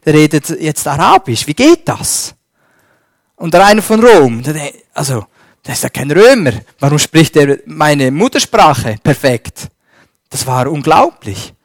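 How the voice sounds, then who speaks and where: A man preaching a sermon and reading scripture, slow and emphatic, swinging between loud stresses and a dropped voice. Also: a little high, no room sound, studio quality.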